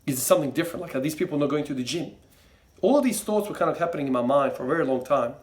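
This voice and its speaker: A man's voice: fairly high pitch, animated, racing. A young man, talking to camera about his views. He rambles loosely, with plenty of fillers, false starts and trailing asides. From Croatia. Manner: rambling, many fillers and restarts. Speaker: fitness vlogger. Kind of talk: casual monologue to camera.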